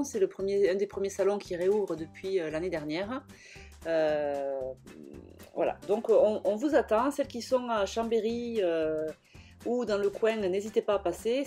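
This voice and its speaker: Brief: young woman, warm low-key tone, hesitant chatty voice-over, soft music under. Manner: hesitant, many fillers and restarts. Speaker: scrapbooking vlogger. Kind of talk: casual voice-over narration.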